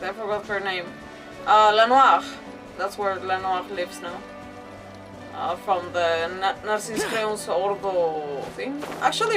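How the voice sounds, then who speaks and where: A woman casually commentating on a live game playthrough, thinking aloud in an informal, expressive way. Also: mid-range voice. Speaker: game streamer. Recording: distant-sounding mic with game music behind. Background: game music.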